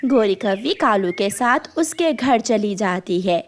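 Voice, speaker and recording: A woman's voice: medium pitch, animated, takes it slowly. A woman narrating a scripted cartoon story as the storyteller.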